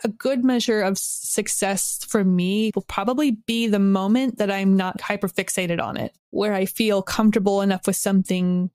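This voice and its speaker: A woman's voice: medium pitch, fairly even intonation, normal speed, hardly any pauses, darkish tone, no echo, clean studio sound. A woman talking reflectively and informally as a podcast guest. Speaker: podcast guest, audio drama creator. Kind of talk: reflective interview talk.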